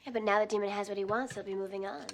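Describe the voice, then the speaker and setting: A young woman playing a quick-witted, direct teenage demon slayer in a TV drama. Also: medium pitch, fairly even intonation, crowd noise, lightly acted. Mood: intent. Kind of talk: TV drama dialogue.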